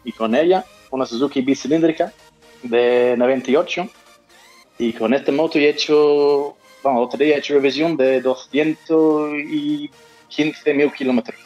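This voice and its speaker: A man with an Australian accent mixed with Andalusian, answering interview questions in a halting way and often restarting his phrases. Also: fairly high pitch, fairly even intonation, dull audio, faint music behind. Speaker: interview guest, motorcyclist.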